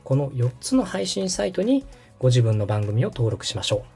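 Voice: mid-range voice, big pitch swings, normal speed, creaky, warm tone, husky, soft background music. A podcast host explaining things step by step in a tutorial manner and checking that the listener follows. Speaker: host and radio director giving a tutorial.

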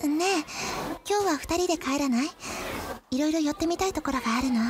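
High-voiced demure, sly schoolgirl, played by a woman. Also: a little fast, close to an everyday voice. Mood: friendly. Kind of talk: radio drama dialogue. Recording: loud background noise.

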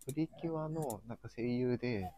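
A man hosting a casual live audio chat in a soft-spoken, hesitant way, with a breathy, husky voice. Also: mid-range voice, animated, normal speed, slight background noise. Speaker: live show host.

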